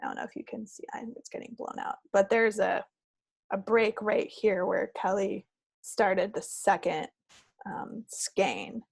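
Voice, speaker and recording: Female podcast co-host, casual and rambling, with plenty of vocal fry and a dry, close sound. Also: mid-range voice, measured pace, no echo. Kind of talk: casual chat about projects. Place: recorded at own end of a remote video call.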